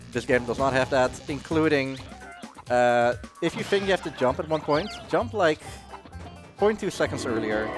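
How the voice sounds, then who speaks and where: A man who is not a native English speaker giving casual, rambling live commentary on his own speedrun, with wry asides. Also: mid-range voice. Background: video game music.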